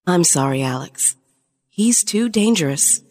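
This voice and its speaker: A woman's voice: fairly low pitch, animated, a little slow, darkish tone. A woman playing a shapeshifting alien spy in an audio drama, a conflicted lover pleading her case earnestly. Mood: regretful, firm. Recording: long reverberant hall sound.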